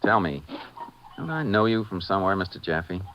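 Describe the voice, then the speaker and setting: Deep-voiced, terse Texas Ranger. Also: male voice, sing-song, normal speed. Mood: calm, probing. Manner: laconic, matter-of-fact questioning. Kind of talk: 1950s radio drama acting with first-person narration. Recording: muffled, noisy 1950s radio recording.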